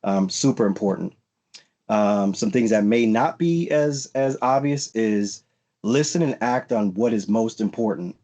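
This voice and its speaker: Low-voiced man giving career advice. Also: animated, a little slow, dark tone. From United States. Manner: frequent fillers and restarts, earnest advice-giving. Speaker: panelist at a recruiting event. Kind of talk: virtual panel talk.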